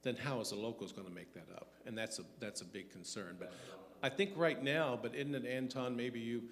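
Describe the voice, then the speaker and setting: A man, a state legislator, giving a halting, hedging answer at a public forum. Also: medium pitch. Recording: reverberant hall with faint background noise.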